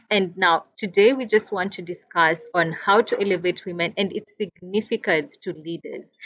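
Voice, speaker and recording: A woman's voice: on the low side, no echo. A woman hosting an online panel, thanking speakers and introducing the next one with effusive, enthusiastic praise. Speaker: host and event moderator. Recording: dull, dry sound.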